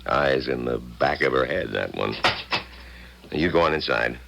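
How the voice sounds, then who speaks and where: A blunt, weary doctor in a 1970s radio drama. His voice is extremely deep and gravelly, and he answers drily and curtly. The old broadcast recording carries faint noise. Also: a little slow, fairly bright tone, exaggerated voice. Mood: uneasy, dry. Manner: dry, curt, world-weary. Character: weary, blunt, stubborn doctor, devoted husband.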